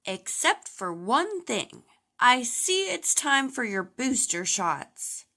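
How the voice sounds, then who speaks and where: A woman reads as a friendly doctor bear from a children's storybook. She is businesslike yet reassuring, explaining patiently in a bright, high female voice at an unhurried pace. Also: sing-song, exaggerated voice. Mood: matter-of-fact.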